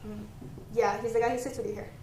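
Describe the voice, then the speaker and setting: A woman's voice: a little high, little pitch movement, fast talker, dark tone, lightly acted. A young woman acting in a stage play as a wary, reluctant waitress with a hesitant, questioning manner. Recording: echoey room, clear background noise.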